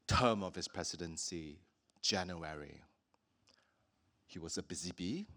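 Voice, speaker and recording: A man from Singapore hosting a public talk with an artist, speaking thoughtfully with many pauses and fillers. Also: on the low side, fairly bright tone. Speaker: host.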